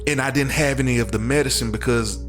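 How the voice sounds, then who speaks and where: A man's voice: mid-range voice, quick pace, a bit bright, soft background music. A man with an American accent giving personal testimony as an interview guest, earnest and repeating phrases for emphasis. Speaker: show guest, crash survivor.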